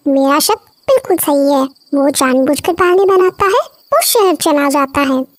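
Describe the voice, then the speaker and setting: High-voiced concerned farmer's wife, played by a woman. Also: animated, measured pace, no echo, studio quality. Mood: suspicious, insistent. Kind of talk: acted cartoon moral story.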